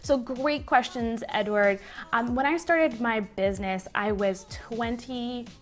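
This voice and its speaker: A woman's voice: on the high side, measured pace, soft background music. A woman in her twenties from Puerto Rico with a Puerto Rican accent, a native Spanish speaker, answering viewer questions to camera in a chatty way from her living room. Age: 20s. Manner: chatty, gestures a lot. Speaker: business vlogger. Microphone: webcam at desk.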